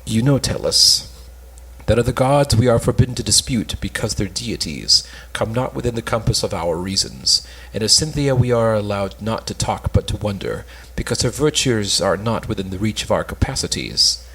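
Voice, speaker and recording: Devoted young courtier for Elizabethan comedy, read by a young man: rough-edged voice, lowish, measured delivery. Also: expressive, fairly bright tone, full character voice, low-quality recording. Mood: reverent. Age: young adult. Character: lovesick young male courtier, devoted, lofty. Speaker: student reader in an amateur play reading.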